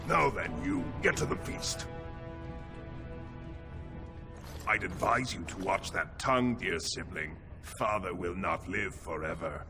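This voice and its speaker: An actor playing a domineering crown prince in a fantasy game, pompous, cold and commanding. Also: male voice, low-pitched, moderate pace. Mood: cold, threatening. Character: haughty, domineering male crown prince, scolding elder brother. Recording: loud music behind the voice.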